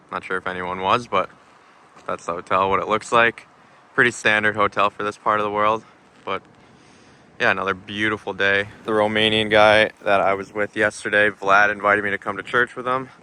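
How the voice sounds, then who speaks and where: A man talking casually to camera for a vlog in a low-key, plain-spoken way. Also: flat delivery, moderate pace, pushed and bright, clean tone. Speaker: vlogger, pro hockey goaltender.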